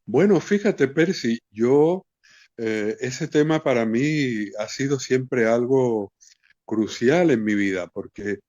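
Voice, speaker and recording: Slow, reflective Cuban man on a call. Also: medium pitch, animated, darkish tone, no room sound. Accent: Cuban Spanish. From Cuba. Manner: slow and reflective, with many restarts. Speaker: guest poet. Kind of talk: casual interview answers. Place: home in Barcelona, video call. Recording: dull lo-fi call audio.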